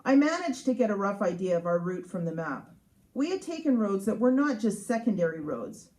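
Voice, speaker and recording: A woman's voice: on the high side, darkish tone, low-quality recording. A woman reads her humorous memoir aloud as its author, in a sarcastic, emphatic, mock-exasperated manner. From Canada. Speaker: author reading from own book. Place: live reading at a showroom.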